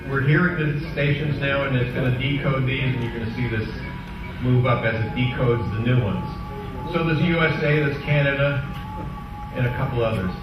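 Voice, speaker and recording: A man's voice: medium pitch, moderate pace, muffled recording, music in the background. Echoey, distant man giving a technical demo. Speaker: club presenter giving a software demonstration. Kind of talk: informal technical presentation with live demo. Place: indoor club meeting room. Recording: echoey room from far off, clearly noisy.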